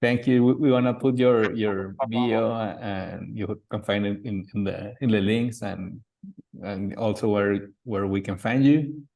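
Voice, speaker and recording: A man with a Spanish accent, a native Spanish speaker, hosting a podcast and wrapping up an interview in halting English that repeats words. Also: lowish voice, creaky, a little mellow. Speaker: podcast host. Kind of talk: podcast interview, closing remarks.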